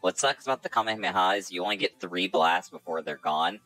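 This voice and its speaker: A man's voice: low-pitched, fast talker, pushed and bright, faint music behind, rough recording. A young man co-hosting a podcast, chatting casually and with opinionated enthusiasm about video games. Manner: opinionated, enthusiastic, rambling with fillers. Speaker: podcast co-host.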